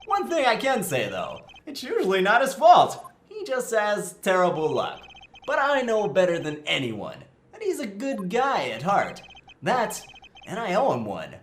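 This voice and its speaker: A man's voice: sits high, wildly swooping pitch, a little slow, reverberant, slight background noise, heavily acted. A man playing a nervous young rookie lawyer in a comedic game fan dub, joking and rambling when flustered. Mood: fond, determined.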